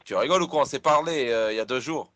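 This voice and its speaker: A man's voice: medium pitch, rapid-fire, a crowd in the background. A man making a casual prank phone call in slangy speech, insistent and often cutting in. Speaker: prank caller.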